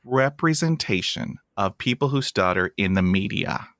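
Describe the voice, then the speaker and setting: A man's voice: on the low side, animated, normal speed, fairly bright tone, dry recording, clean studio sound. Enthusiastic man hosting a podcast. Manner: enthusiastic, stutters with blocks and repeats. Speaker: podcast host. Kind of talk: casual interview chat.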